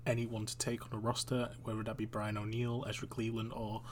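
A man's voice: on the low side, completely flat delivery, brisk, a little hiss or hum. A man from a team podcast announcing a pick in a matter-of-fact way. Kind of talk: sports analysis.

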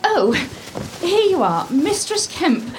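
A woman's voice: very high-pitched, big pitch swings, slow, over music, full character voice, low-quality recording. A woman acting in an audio drama as a polite, attentive servant with a deferential manner.

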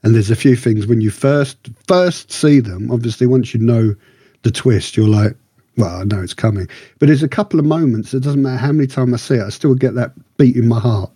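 Male podcast reviewer, husky and mellow, chatty, rambling delivery with keen interest. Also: mid-range voice, a little fast, dry recording, studio quality. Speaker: podcast co-host reviewing books and radio dramas. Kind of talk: casual review chat.

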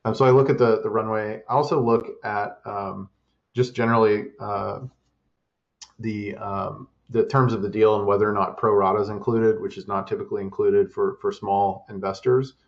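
A man answering interview questions about startup investing and advising, in a measured way with many fillers and restarts. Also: lowish voice, level tone, highs cut off.

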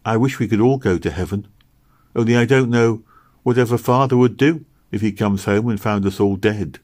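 A deep-voiced man putting on a heartbroken little girl, pitched well up from his own voice, pleading and tearful, storybook reading. Her words come earnest and raised, caught in grief. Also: moderate pace, darkish tone, natural voice. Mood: sad. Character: grieving poor little girl caring for siblings.

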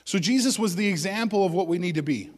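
A male pastor giving a conversational sermon, with joking asides and loud bursts of emphasis. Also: high-pitched, fast, big-room sound.

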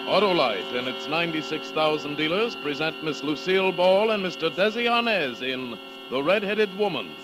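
Over loud music on an old radio recording, a man proclaims a suspense programme. His formal, dramatic voice sits high and swings widely in pitch. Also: lively intonation, moderate pace. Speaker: radio show announcer. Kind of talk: old-time radio suspense show announcing.

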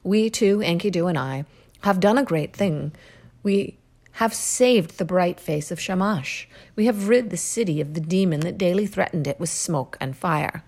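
A woman reading aloud, voicing a proud, defiant young male hero-king who declaims loudly. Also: lowish voice, moderate pace. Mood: boastful.

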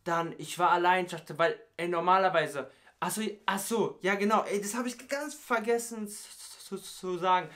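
A man's voice: high voice, normal speed, a bit bright. Tired, slangy young stuttering man. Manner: stutters, heavy youth slang. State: tired, has not slept. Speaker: YouTuber. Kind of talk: casual vlog chat with rap parody bits.

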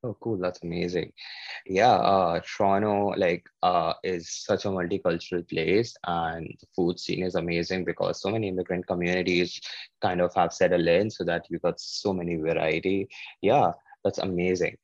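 A man hosting a casual podcast interview, often halting and restarting his words. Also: low-pitched, flat delivery, no room sound, muffled recording. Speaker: podcast host.

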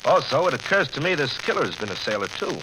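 An actor playing a keen, respectful, plain-spoken police officer in a 1940s radio drama. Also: male voice, on the high side, very animated, fast. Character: eager, observant male police officer, ex-Navy man. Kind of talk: 1940s American radio crime drama acting. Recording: noisy, crackly old-time radio recording.

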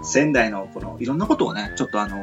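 A man from Kyushu hosting a casual radio chat, polite and wordy, circling haltingly toward his questions. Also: on the low side, animated. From Kyushu, southern Japan. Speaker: radio host. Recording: muffled lo-fi audio with music behind. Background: background music.

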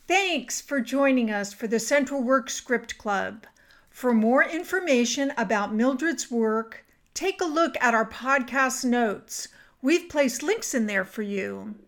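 A woman's voice: a little high, expressive, slow, fairly bright tone. A woman hosting a podcast, wrapping up an interview with a sign-off. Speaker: podcast host.

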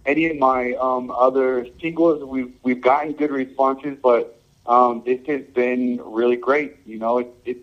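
A man's voice: mid-range voice, fairly even intonation. Laid-back man, slightly muffled recording. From Samoa and Hawaii. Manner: laid-back, halting, full of "um" and "you know". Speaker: reggae musician guest. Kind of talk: casual interview answers.

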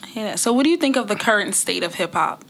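A woman co-hosting a podcast, asking questions in relaxed conversation. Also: medium pitch, quick pace, vocal fry. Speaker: podcast co-host. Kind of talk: casual interview chat.

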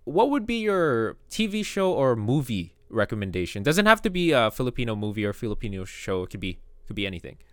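A man hosting a podcast, talking casually in a halting way with many restarts. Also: mid-range voice, big pitch swings, a bit bright, dry recording. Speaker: podcast host. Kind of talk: casual chat.

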